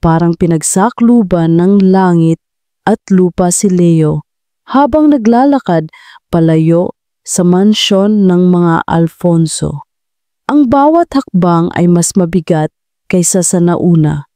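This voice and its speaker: A woman narrating a scripted audio drama in a measured, dramatic way. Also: fairly low pitch, animated, dark tone, clear, resonant tone, no echo. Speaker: storyteller.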